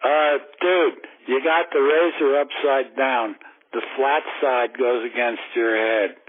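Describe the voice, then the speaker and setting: A man's voice: medium pitch, steady tone, slow. A man leaving a casual voicemail as a caller. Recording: noisy tinny phone line.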